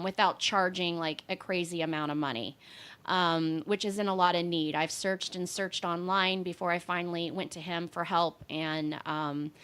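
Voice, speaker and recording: A woman's voice: medium pitch, fairly bright tone, smooth. Brief: female podcast co-host, casual, rambling, um-heavy, home office mic. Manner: rambling, many ums, swears casually.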